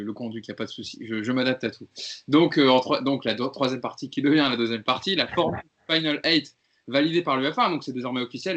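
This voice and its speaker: A man hosting a casual football fan podcast, steering the talk and reading out the facts in a brisk way. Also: medium pitch, fairly bright tone, dull audio. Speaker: podcast host.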